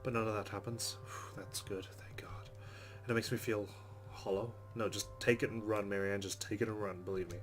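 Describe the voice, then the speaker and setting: A man's voice: fairly low pitch, fairly even intonation, moderate pace, few pauses. A man hosting a let's play, reading game narration aloud dramatically and adding muttered, sweary asides. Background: game music.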